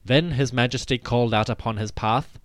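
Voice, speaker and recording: A man giving a scripted, dramatic narration of ancient history in a measured, solemn storytelling manner. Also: mid-range voice, no echo, clean studio sound. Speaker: podcast host and narrator.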